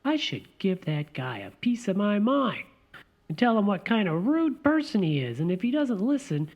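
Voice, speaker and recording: A woman's voice: medium pitch, moderate pace, lo-fi sound. A woman playing an earnest, easily rattled partner. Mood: defensive. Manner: defensive, expressive. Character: earnest, timid partner goaded into conflict. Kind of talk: radio play acting.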